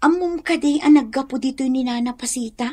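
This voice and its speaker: An actress with an Ilocano accent playing a kind, patient young wife who consoles others in a radio drama. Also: female voice, high voice, fairly quick, mellow. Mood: consoling. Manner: consoling, reassuring.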